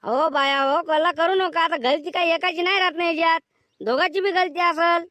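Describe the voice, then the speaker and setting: High-pitched, dry village peacemaker played by a man. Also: fairly even intonation, fairly quick, sharp tone, dry recording. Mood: exasperated. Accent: rural Vidarbha Marathi. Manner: admonishing, mediating. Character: exasperated village man, peacemaking elder neighbor. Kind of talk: village cartoon comedy skit.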